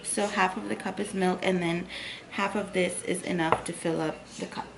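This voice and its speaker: A woman in her thirties chatting casually through a home cooking and hacks vlog, upbeat and relaxed. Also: lowish voice, normal speed, vocal fry, poor audio. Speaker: lifestyle vlogger. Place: home bedroom and kitchen.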